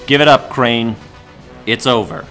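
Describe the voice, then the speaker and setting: A man's voice: medium pitch, steady tone, measured pace, sharp tone, faint music behind. A man acting a stern, resolute vigilante hero in an audio drama, speaking tersely.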